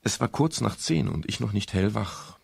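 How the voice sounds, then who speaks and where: A world-weary, dryly wry private detective from a seventies radio crime play. His voice sits very low and crackles with gravel, both when narrating and when sparring in dialogue. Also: expressive, normal speed, heavily acted. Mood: brooding narration. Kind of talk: 1970s German radio crime drama, first-person narration and dialogue.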